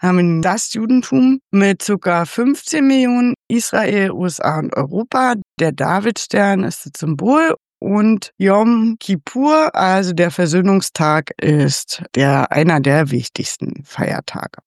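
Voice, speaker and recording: Chatty woman with a gravelly edge. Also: medium pitch, animated, a little slow, few pauses, dry recording. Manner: chatty, a bit unsure, asks for confirmation. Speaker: host. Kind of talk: casual explanatory overview.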